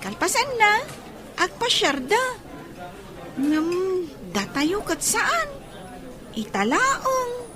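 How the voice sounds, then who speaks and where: Woman playing a very high-pitched, childlike young girl. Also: wildly swooping pitch, drawn-out words, long pauses, crowd noise, heavily acted. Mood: chatty, eager. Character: eager young girl, the daughter of the family. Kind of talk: audio drama dialogue.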